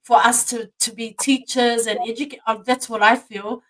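A woman hosts a relaxed podcast interview, chatty and expressive, her sentences full of "like" and "you know". Her voice sits slightly high. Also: normal speed, rough recording. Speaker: podcast host. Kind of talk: casual interview chat.

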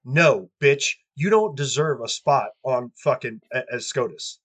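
A man's voice: medium pitch, measured pace, fairly bright tone, breathy edge. A man hosting a podcast who rants angrily and swears constantly, sniffling from allergies, talking from a cold house. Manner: angry, profane ranting. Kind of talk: ranting podcast talk.

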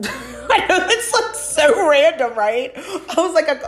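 A woman's voice: high-pitched, sing-song, slow pace, a bit bright. A woman in her thirties talks off the cuff on her own podcast, loose and chatty and sprinkled with swearing. Her nose is stuffed up, which gives her voice a slightly nasal edge. Faint music sits underneath. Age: 30s. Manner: rambling, chatty, frequent swearing and laughs. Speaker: podcast host and psychic medium. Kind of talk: casual solo podcast monologue.

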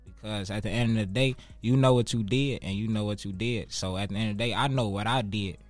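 A man's voice: lowish voice, fairly even intonation, fairly quick, soft background music. A man in his twenties with a Chicago accent, talking casually as an interview guest in street slang, with profanity and emphatic repetition. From Chicago, United States. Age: 20s.

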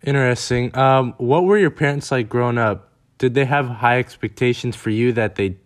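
A man's voice: medium pitch, moderate pace. A man interviewing someone, asking brief questions with hesitant "um" and "uh" fillers.

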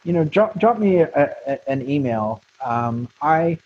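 A man's voice: a little high, animated, measured pace, dull audio, a little hiss or hum. An American man, a marketing consultant, talking frankly and rambling in a casual interview with many restarts and fillers.

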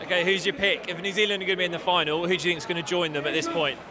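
A man interviews fans at a quick clip, joking and teasing as he goes. His voice sits a touch high. A loud crowd fills the outdoor space around him. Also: steady tone. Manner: jokey, teasing, laughs easily. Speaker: roving interviewer. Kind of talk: casual street interview. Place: outdoor fan zone.

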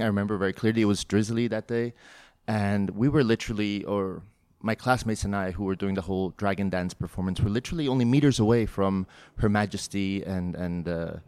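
A man's voice: lowish voice, a little mellow, clear, resonant tone, dry recording, clean studio sound. A man from Hong Kong hosting a podcast interview, speaking in a thoughtful, reflective way. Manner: thoughtful, reflective, some restarts. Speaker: podcast host. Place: studio in São Paulo.

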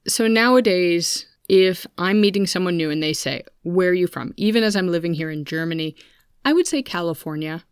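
Low-pitched American woman, dry close sound. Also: animated, a little fast, smooth, dry recording. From United States. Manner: conversational, candid, introspective. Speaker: podcast host. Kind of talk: reflective personal storytelling.

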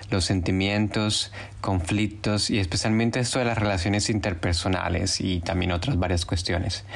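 Guy hosting his own podcast, kinda low voice, earnest and encouraging, native spanish speaker. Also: creaky. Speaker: podcast host. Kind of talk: casual podcast monologue.

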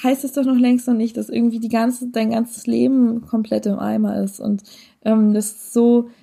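Young woman on a podcast, gravelly mellow voice, slangy, keeps rephrasing herself. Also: fairly high pitch, little pitch movement, normal speed. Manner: casual slangy, corrects her own wording mid-sentence. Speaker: podcast guest. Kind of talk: casual podcast chat.

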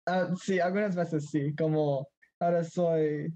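A man's voice: fairly high pitch, fairly even intonation, a little slow, mellow. Hesitant teenage boy, dull lo-fi sound. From Mexico. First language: Spanish. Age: teens. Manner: hesitant, searching for words, mixes in English. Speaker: guest. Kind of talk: casual conversation.

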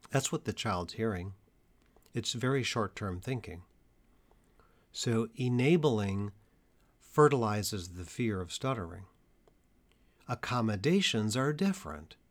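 A man working as a stuttering therapist, giving a measured educational talk to parents and teachers with deliberate, emphatic pauses. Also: on the low side.

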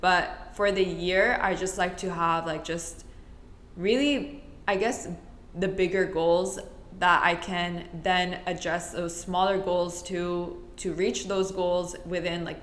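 A woman's voice: lowish voice, fairly bright tone, slight background noise. A woman from the Middle East hosting a casual solo podcast, chatty and rambling, often correcting herself mid-sentence. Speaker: podcast host. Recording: roomy echo.

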